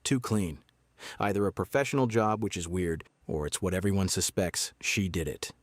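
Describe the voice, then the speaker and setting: An actor playing a guarded small-town sheriff in a noir audio drama, laconic, deadpan and measured. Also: male voice, low voice, no room sound, close to an everyday voice. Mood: terse, uneasy.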